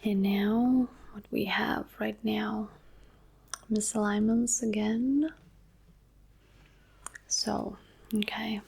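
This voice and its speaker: A woman speaking softly and breathily in an ASMR medical roleplay, often slipping into a whisper, gentle and reassuring, close to the microphone. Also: mid-range voice, steady tone, vocal fry, breathy edge. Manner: gentle, reassuring, unhurried, softly checking with okay. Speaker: ASMR performer playing medical specialists in roleplay. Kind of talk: soft-spoken ASMR medical roleplay, close-up personal attention. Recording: close-up mic with faint background noise.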